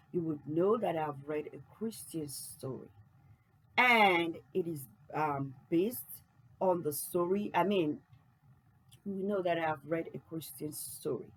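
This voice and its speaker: Woman host, earnest faith-based encouragement, husky, lively pitch. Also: moderate pace, rough recording. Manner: earnest, encouraging, rhetorical questions. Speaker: book channel host.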